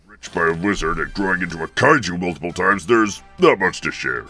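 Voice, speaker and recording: A man voicing a grumpy, brash monster villain king in a cartoon game parody, with a gravelly growl and an irritable, mocking manner. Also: sits low, animated, a little fast, sharp tone, heavily acted. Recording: music behind the voice.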